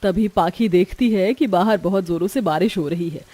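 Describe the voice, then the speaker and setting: A woman's voice: medium pitch, moderate pace, dark tone. A woman delivering scripted storytelling narration for a cartoon tale.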